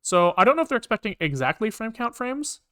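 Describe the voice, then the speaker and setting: A man's voice: sits high, very animated, fast talker, a bit bright, smooth. A man talking casually to himself as he works through code on a live stream, informal and muttering. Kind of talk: casual think-aloud live coding commentary.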